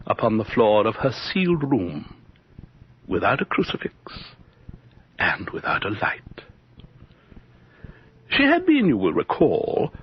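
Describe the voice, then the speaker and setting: An actor playing a pompous, fussy official who haltingly composes a formal letter in a radio drama. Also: male voice, mid-range voice, full character voice. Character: hesitant, pompous official reporting delicately to his prince. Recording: dull old radio recording, faint noise.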